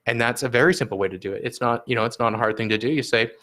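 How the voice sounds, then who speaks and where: A man's voice: medium pitch, racing, sharp tone. A man running a food bank, answering interview questions in an earnest, explanatory way, with frequent fillers and repeated words. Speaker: food bank executive director, guest.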